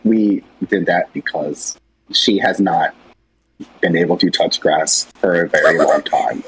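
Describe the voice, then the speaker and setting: A young man co-presenting an animated series project in a casual, joking, slangy and teasing chat. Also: deep voice, measured pace, breathy edge, poor audio.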